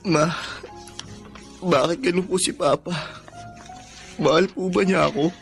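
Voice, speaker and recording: A man's voice: high-pitched, fairly even intonation, a little slow, gravelly, a bit bright, faint music behind, full character voice. A man playing a meek, sad Filipino eighteen-year-old in a radio drama, polite and subdued. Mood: hurt, apologetic.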